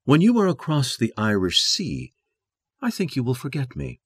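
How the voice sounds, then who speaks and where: An actor playing a brooding, passionate gentleman in love, with theatrical, intense delivery in a dramatized classic novel. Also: mid-range voice, huge pitch swings, moderate pace, breathy edge, no echo. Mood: grave, teasing.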